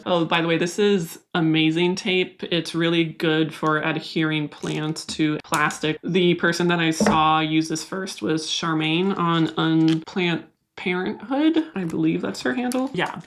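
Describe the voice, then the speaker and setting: A woman's voice: low-pitched, slight background noise. A young woman talking casually and candidly to her viewers while she repots and stakes houseplants. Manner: soft, candid, confiding. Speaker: plant vlogger.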